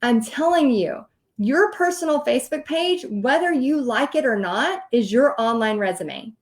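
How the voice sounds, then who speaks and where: Chatty Texan woman, high voice. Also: lively intonation, unhurried. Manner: chatty, direct, laughs often, addresses viewers. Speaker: host teaching paint party business. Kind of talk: casual livestream coaching talk.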